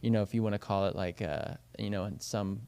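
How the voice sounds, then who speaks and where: Rambling young man, smooth low voice. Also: steady tone, brisk, dark tone. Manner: rambling, heavy on fillers like "you know" and "like". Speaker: baseball and softball coach, podcast co-host. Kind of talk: casual podcast chat.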